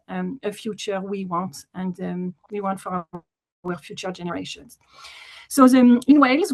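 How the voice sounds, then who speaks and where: A woman from France with a French accent, giving an enthusiastic webinar presentation as a deputy commissioner, with frequent fillers, over a video call. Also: mid-range voice.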